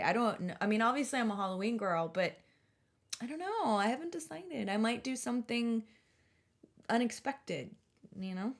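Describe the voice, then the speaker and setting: A woman hosting a podcast, chatting casually in an informal, rambling, enthusiastic way. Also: mid-range voice. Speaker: podcast host. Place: podcast recording.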